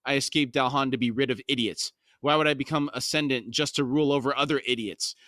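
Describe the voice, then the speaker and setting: A man's voice: medium pitch, fairly even intonation, fast, pushed and bright, no echo, studio quality, natural voice. A man voicing a cantankerous, sneering woman who slings insults scornfully in a fantasy book reading. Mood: disdainful. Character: wiry, sneering, cantankerous woman, sharp-tongued and contemptuous.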